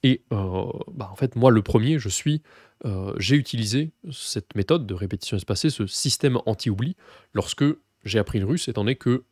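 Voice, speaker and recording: A French man who teaches Russian, explaining his course in a relaxed way with many fillers and restarts. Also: fairly low pitch, moderate pace, dry recording, clean studio sound. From France. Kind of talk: course presentation to camera.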